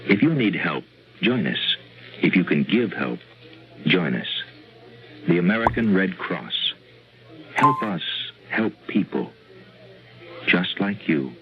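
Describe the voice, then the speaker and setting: Very deep, solemn male announcer. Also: very animated. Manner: solemn, deliberate. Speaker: public service announcer. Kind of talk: scripted radio announcement. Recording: dull, noisy old radio recording.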